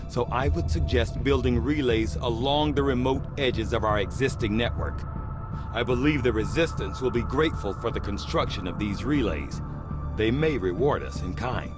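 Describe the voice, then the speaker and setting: A man playing a thoughtful research scientist in a video game, explaining things to his commander in a formal, measured manner. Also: mid-range voice, natural voice. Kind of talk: scripted video game dialogue. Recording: music behind.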